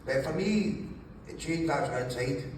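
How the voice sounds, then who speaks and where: A man's voice: a little high, animated, moderate pace, darkish tone. A man, telling stories casually in a jokey, sweary way as an interview guest. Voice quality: gravelly. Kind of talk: animated casual storytelling. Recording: very echoey room, distant mic, faint noise.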